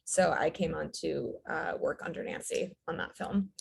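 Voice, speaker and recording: A woman's voice: sits low, moderate pace, gravelly, slight background noise. Woman in her 30s, filmmaker guest, easygoing, lots of ums. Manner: relaxed, fillers, light laughs. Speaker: guest, writer-director. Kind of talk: casual interview chat.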